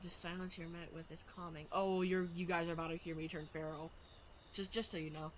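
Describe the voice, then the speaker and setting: A woman's voice: lowish voice, little pitch movement, brisk, noisy background. A woman livestreaming a visual novel, reading the text aloud and reacting playfully as she goes. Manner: playful, reactive, shifts between reading and chat. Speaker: streamer. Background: loud game music.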